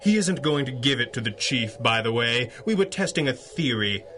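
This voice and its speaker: Masked male radio superhero: mid-pitched, forceful, measured and articulate, with dry wit. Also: animated, slight background noise. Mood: coolly explaining. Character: masked male superhero, calm, clever, dryly witty. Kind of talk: 1930s-style radio drama acting.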